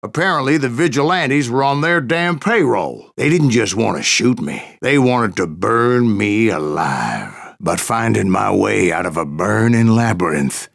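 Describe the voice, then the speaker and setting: Gravelly old Wild West gunslinger. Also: male voice, lowish voice, lively intonation, a little slow, hardly any pauses, fairly bright tone, full character voice. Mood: grim, boastful. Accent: American West. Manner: folksy, salty, cantankerous drawl. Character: gruff, stubborn old Wild West bounty hunter spinning tall tales. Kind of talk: storytelling narration, rambling saloon yarn.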